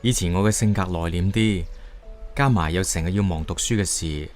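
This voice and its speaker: A man's voice: low-pitched, brisk, soft background music, natural voice. An actor playing a tender, reserved young man in love in a radio drama, with a Hong Kong Cantonese accent. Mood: regretful, loving.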